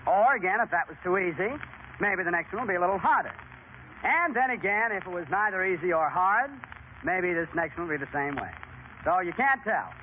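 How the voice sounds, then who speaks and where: A man's voice: high-pitched, lively intonation, a little fast. A man hosting a 1930s radio quiz show with bantering patter. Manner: bantering, repeats contestants' answers. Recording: tinny, hissy 1930s radio recording.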